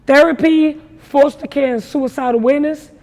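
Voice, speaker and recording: A young woman from New York with a naturally raspy voice, telling her story in a casual interview with emphatic, slangy talk. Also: high voice, fairly even intonation, moderate pace, a little mellow, long reverb tail. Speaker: rapper guest.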